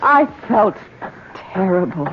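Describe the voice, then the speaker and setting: Muffled noisy radio drama, troubled wife, lowish voice, earnest, faltering. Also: female voice, sing-song, slow pace. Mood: anxious, guilty. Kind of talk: 1970s radio drama acting. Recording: dull, noisy old radio broadcast.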